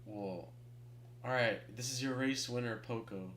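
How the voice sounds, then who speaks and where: A man's voice: mid-range voice, measured pace, fairly bright tone, slight background noise. A young man co-commentating a race casually, teasing and slangy. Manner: teasing, slangy, says bro.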